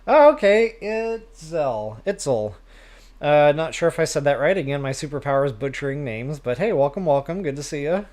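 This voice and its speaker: A man's voice: a little high, expressive, moderate pace, a little mellow. A man hosting a game livestream, chatting casually with viewers in a self-deprecating way. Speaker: live stream host, gamer.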